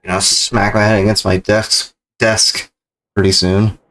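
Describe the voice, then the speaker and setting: A man's voice: on the low side, level tone, measured pace, fairly bright tone. A man giving casual, exasperated gaming commentary as he speedruns, muttering and swearing. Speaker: streamer speedrunning games. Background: faint game music.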